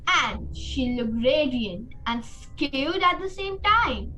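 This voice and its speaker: Woman narrator, fairly high voice, unhurried, scripted radio-play storytelling, faint noise. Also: expressive, bright, forceful tone, soft background music, poor audio.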